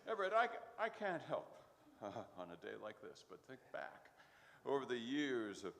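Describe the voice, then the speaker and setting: A man's voice: mid-range voice, wildly swooping pitch, moderate pace, fairly bright tone. A man playing an earnest, nostalgic co-commentator, solemnly grandiose about trivia, in a live radio comedy. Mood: nostalgic, grand. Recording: reverberant hall stage recording.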